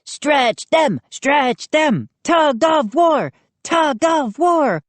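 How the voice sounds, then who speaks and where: A woman voicing gleeful, mischievous gnomes in a shrill, taunting, chanting way for a storybook. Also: lively intonation, slow, no room sound, exaggerated voice.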